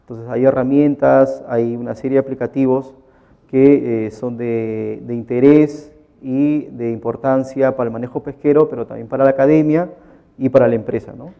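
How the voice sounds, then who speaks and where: A man giving a technical lecture, often pausing on "eh" and ending phrases with "¿no?". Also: medium pitch, fairly even intonation, vocal fry, highs cut off. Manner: frequent "eh" and "¿no?" tags.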